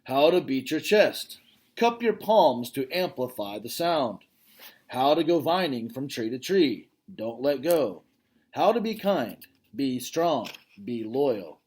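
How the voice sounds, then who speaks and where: A man, a father, reading a children's novel aloud and pausing to chat with his listeners about the story. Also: medium pitch, slightly rough. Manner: expressive reading, breaks off to comment directly to listeners.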